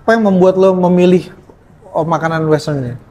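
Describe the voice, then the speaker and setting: A man, casually interviewing a cafe chef and prompting him with quick affirmations. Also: high voice, mellow. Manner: chatty, prompting with short affirmations.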